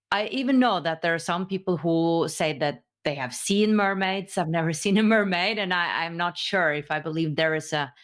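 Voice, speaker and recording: Norwegian woman hosting an interview. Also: fairly low pitch. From Norway. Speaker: interview host. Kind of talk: casual spoken interview.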